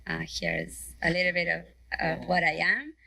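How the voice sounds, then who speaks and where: A woman from Argentina with an Argentinian Spanish accent, introducing herself as a decor company director on a trade show panel, unhurried and hesitant with fillers. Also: lowish voice, gravelly, crowd noise. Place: conference panel.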